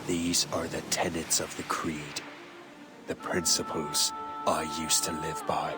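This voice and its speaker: An actor playing a roguish young assassin in a video game, speaking with an Irish accent. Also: male voice, rumbling low, monotone, slow, loud music behind the voice. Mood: solemn, reflective. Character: roguish young assassin recounting his life story. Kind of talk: scripted game voice acting.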